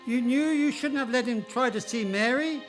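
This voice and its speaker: A woman's voice: high voice, moderate pace, faint music behind, close to an everyday voice. A woman playing a stern, authoritative senior angel who questions and admonishes in a radio play. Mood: stern, reproving. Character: stern heavenly superior angel, questioning and scolding.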